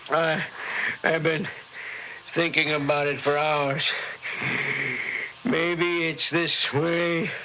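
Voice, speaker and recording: An actor playing a dazed, bitter young husband in a 1960s horror radio drama, speaking in halting, broken phrases. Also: male voice, on the high side, level tone, bright, forceful tone, exaggerated voice. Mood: dazed, haunted. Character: dazed, bitter honeymooning husband trapped in a cabin. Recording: dull, noisy old radio recording.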